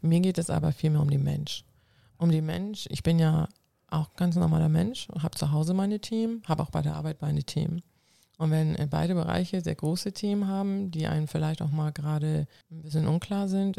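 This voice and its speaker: Gravelly woman, earnest. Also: deep voice, dark tone, no room sound, clean studio sound. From North Frisia, northern Germany. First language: Low German. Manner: earnest, explains at length with restarts. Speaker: guest, coach and civil servant. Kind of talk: casual interview answers.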